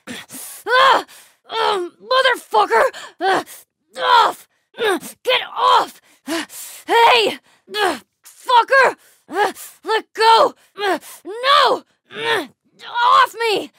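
A woman's voice: very high voice, lively intonation, very slow, gravelly, pushed and bright, dry recording, shouting, clean studio sound, full character voice. A young woman with an American accent playing a tough, foul-mouthed, wry and guarded survivor in a video game, terse and sardonic. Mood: fighting, desperate.

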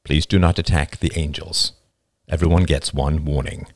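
A man's voice: very deep voice, steady tone, normal speed, dry recording, pitched below the performer's own voice. A man voicing a polite, impassive robotic baby-faced angel in an audiobook story. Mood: impassive, warning. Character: calm floating angel robot with a baby face.

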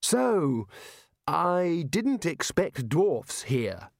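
A man's voice: on the high side, sing-song, very slow, vocal fry, dry recording, clean studio sound, pitched above the performer's own voice, exaggerated voice. A man voicing a wary, hapless wizard traveller in a storybook, tentative and politely questioning.

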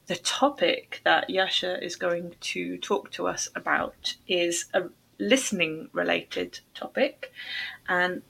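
A woman hosting a language-learning podcast, interviewing a guest in careful, clearly phrased speech. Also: on the low side, bright, forceful tone, rough recording. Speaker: podcast host.

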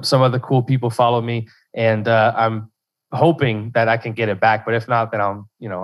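Young man from Louisiana, rambling. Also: mid-range voice. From South Central Louisiana. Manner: rambling, lots of restarts and "like". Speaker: podcast guest. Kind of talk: casual interview chat.

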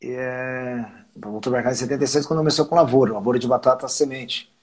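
A husky-voiced man, talking casually as an interview guest. He rambles, restarts his phrases and chuckles as he goes. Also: mid-range voice, steady tone, brisk. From southern Brazil. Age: 50s. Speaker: winery owner, guest.

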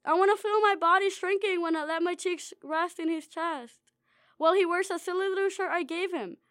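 Very high-voiced young woman reading her essay. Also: brisk, fairly bright tone, dry recording, clean studio sound. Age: young adult. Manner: wry, dreamy, playfully self-mocking. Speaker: student author reading her own essay. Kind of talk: reading a personal essay aloud.

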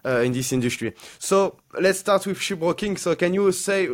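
A man's voice: sits high, lively intonation, normal speed. A man, hosting and interviewing a guest on a podcast. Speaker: podcast host.

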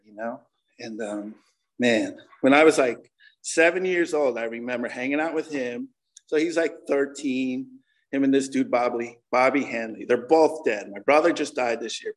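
A man's voice: mid-range voice, normal speed, poor audio. A man in his forties with an American accent, sharing an informal personal testimony at a recovery meeting in a candid, slangy, conversational way. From Connecticut, United States. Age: 40s.

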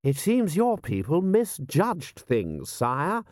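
A man's voice: fairly high pitch, wildly swooping pitch, takes it slowly, darkish tone, no echo, studio quality, pitched above the performer's own voice, heavily acted. A man voicing a haughty, anxious aristocratic army commander in an audiobook, with a pompous manner. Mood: smug.

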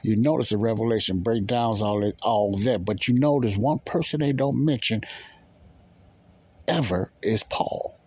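Male Bible teacher, raspy, lower-pitched voice. Informal and emphatic delivery, with repetition and rhetorical questions. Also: measured pace, a little mellow, no room sound. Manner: emphatic, repeats phrases and poses rhetorical questions. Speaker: podcast host and Bible teacher.

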